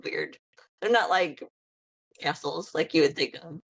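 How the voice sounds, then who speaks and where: A woman's voice: low-pitched, animated, a little slow, breathy edge, no echo. An American woman interviewing a band over a video call in an easygoing, giggly way. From United States.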